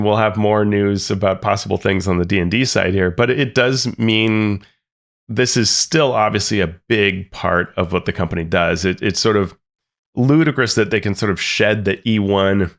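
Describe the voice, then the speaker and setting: Man, podcast co-host, gravelly, lowish voice, casual chat. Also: steady tone, moderate pace, fairly bright tone. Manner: reads quotes aloud then comments, many restarts.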